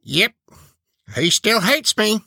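Man doing a loud, boisterous Old West gunslinger, high-pitched. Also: very animated, slow, creaky, breathy edge, no echo, clean studio sound, full character voice. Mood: sulky. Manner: foul-mouthed, giggly, shouting and moaning.

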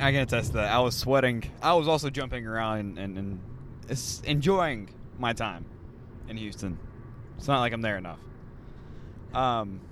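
A man hosting a casual podcast, rambling and joking, recorded on a handheld mic in a moving car. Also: mid-range voice. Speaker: podcast host. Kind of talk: casual chat. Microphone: handheld mic passed around. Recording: road noise from a moving car.

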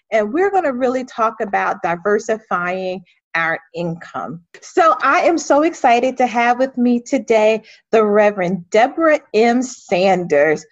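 A woman's voice: on the high side, no echo, lo-fi sound. A woman hosting an interview podcast, speaking with emphatic, faith-filled enthusiasm. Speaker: podcast host.

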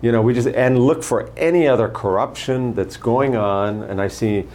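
An older man running for prosecutor, answering interview questions in a measured way and telling stories from his career. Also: mid-range voice, a little mellow, a little hiss or hum. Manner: measured, anecdotal, lists names and past posts. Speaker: candidate for prosecutor.

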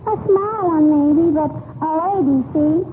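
A woman's voice: high-pitched, unhurried. A woman playing a pert, indignant little girl who scolds in mock-formal words, in 1940s radio comedy style. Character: sassy little girl scolding a grown man. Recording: tinny, noisy 1940s radio recording.

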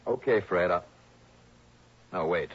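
A man's voice: sits low, slow, slightly rough, heavily acted. An American man playing a confident, shrewd insurance investigator in a 1960s radio drama, speaking in a clipped, assured, hard-boiled way. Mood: probing. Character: confident, shrewd male insurance investigator narrating his cases. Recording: dull old radio broadcast.

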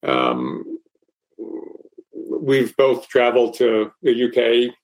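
Man, Texas accent, podcast co-host, casual aviation chat, halting speech full of fillers. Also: mid-range voice, sing-song, poor audio. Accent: Texan. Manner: halting, many fillers and restarts.

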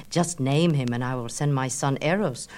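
A grand and indulgent goddess of love, played by a female narrator. Her voice sits low, and she coaxes theatrically, like a gossip at ease. It is made for a storybook of myths. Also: measured pace, a little mellow. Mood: coaxing, amused.

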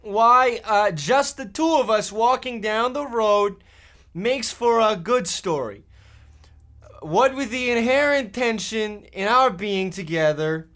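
A man playing a bossy, shifty older brother, a Depression-era boy, halting and mock-folksy in a comic radio play. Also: sits high, animated, a bit bright, exaggerated voice. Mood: scheming. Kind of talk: amateur comic old-time radio acting.

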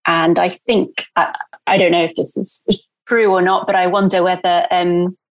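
A woman's voice: lowish voice, level tone, moderate pace. Chatty woman guest. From born in Brazil, grew up in England from age eight. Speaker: podcast guest, journalist and writer. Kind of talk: casual personal storytelling in an interview.

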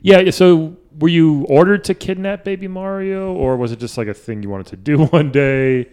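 A man co-hosting a comedy podcast, easygoing and quick to affirm with "sure" and "yeah". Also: a little high, a little mellow, clear, resonant tone. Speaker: podcast co-host. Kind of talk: casual comedy chat.

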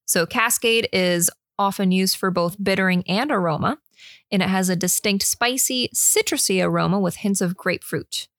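A woman's voice: mid-range voice, moderate pace, fairly bright tone, dry recording, clean studio sound. A woman co-hosting a casual beer podcast, reading out her research notes with easy asides.

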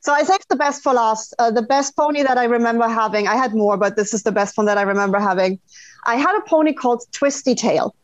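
Female podcast guest, higher-pitched, blunt and emphatic casual talker, muffled lo-fi sound. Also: fast, dry recording. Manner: sweary, emphatic. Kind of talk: casual chat, telling childhood memories.

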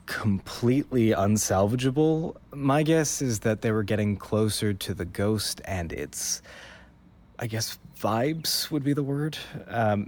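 A man's voice: on the low side, a little mellow. A man playing a wistful, hesitant young narrator in an audio drama, musing as he speaks.

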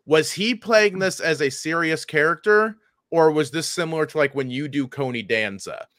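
Bright-voiced man chatting casually. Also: fairly high pitch, no echo, studio quality. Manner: halting, repeats words, profane. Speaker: comedy podcast host and comedian. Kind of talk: casual chat, telling anecdotes.

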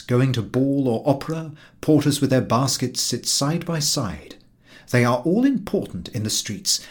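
A man reading a literary travel narrative aloud as an audiobook narrator. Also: medium pitch. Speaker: audiobook reader.

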